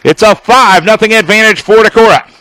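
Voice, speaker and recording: A man doing live baseball play-by-play as a radio announcer, speaking in measured, clipped phrases with deliberate pauses. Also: sits high, sharp tone, low-quality recording. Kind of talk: live sports play-by-play commentary.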